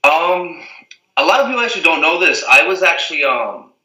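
A man's voice: on the high side, sing-song, fairly quick, sharp tone, reverberant, far from the mic, low-quality recording. A young man from the Azores, a reality TV guest, chatting casually and rambling with lots of "like" and "you know what I mean". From Portugal, the Azores. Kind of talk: casual interview chat.

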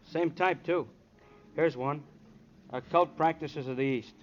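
An actor playing a plain-spoken, unbookish police detective in old radio drama, clipped and matter-of-fact. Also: male voice, on the high side, measured pace, natural voice. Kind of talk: 1950s radio crime drama acting. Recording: dull, slightly noisy old radio recording.

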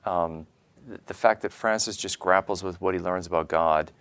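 Male talk host, deep voice, earnest and conversational with halting phrasing. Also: steady tone, fairly bright tone. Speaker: show host.

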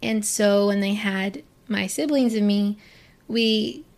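A young woman from Florida talks straight to her viewers in a loose, rambling way. Her sentences circle back and restart, full of "like" and "you know." Her voice sits at an ordinary middle pitch. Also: slow, a little mellow. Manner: chatty, rambling, many "like" fillers and restarts. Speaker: vlogger. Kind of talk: casual personal talk to camera.

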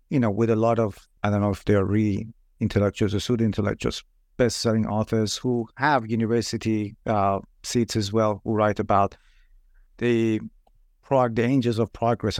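Halting interviewer man, from Iran. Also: fairly low pitch, a little mellow, no echo, studio quality. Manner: halting, repeats words while searching for phrasing. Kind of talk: academic interview questions.

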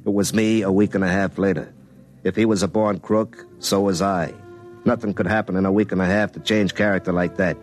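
A man's voice: low-pitched, fairly even intonation, a little fast, close to an everyday voice. An actor playing an earnest, hapless young telephone lineman, telling his own story in a folksy, self-dramatizing way in a comic radio drama. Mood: troubled, rueful. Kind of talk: first-person comic radio drama narration and dialogue. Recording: classic radio show with music underneath.

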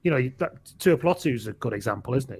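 A man's voice: medium pitch, lively intonation, quick pace, a little mellow, husky. Lancashire bloke in his forties, rambling podcast host, wry and self-correcting. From England, raised in Lancashire and Wales. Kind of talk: casual rugby podcast chat.

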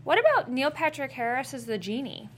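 A woman's voice: high voice, very animated, brisk, slight background noise. A woman co-hosting a casual chat, giving her opinions in a chatty way.

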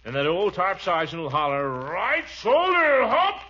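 An American actor playing a pompous, chuckling, good-natured middle-aged uncle in a 1940s style radio comedy. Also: male voice, high voice, wildly swooping pitch, a little slow, pushed and bright, breathy edge, full character voice. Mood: genial showing off. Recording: dull old radio recording.

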